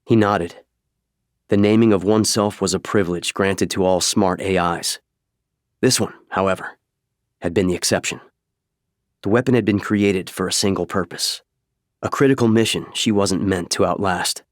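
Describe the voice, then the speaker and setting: Male narrator for novel audiobook, lowish register, close dry studio sound. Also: steady tone, normal speed, long pauses, no echo. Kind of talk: scripted fiction narration.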